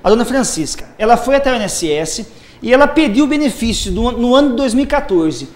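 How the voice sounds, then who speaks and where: A man's voice: very high-pitched, lively intonation, quick pace, darkish tone. A man working as a social security lawyer, methodically explaining pension rules step by step on a live TV program. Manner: methodical, lays out cases step by step.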